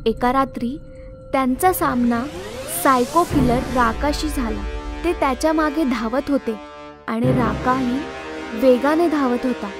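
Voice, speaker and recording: A woman's voice: high voice, a little slow, over music. A woman reading scripted narration as the storyteller of a horror tale.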